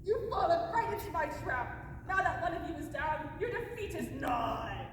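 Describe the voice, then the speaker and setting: A woman's voice: high-pitched, very animated, moderate pace. A woman playing a haughty, vengeful fairy villain with theatrical gloating in an amateur comic puppet show. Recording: noisy echoey hall, distant mic.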